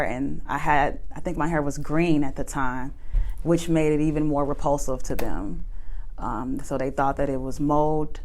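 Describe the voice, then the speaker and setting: A woman with a notably low voice recounts her experience in a measured, matter-of-fact way. She speaks as a nursing facility worker giving interview testimony, and her tone stays deep and even throughout.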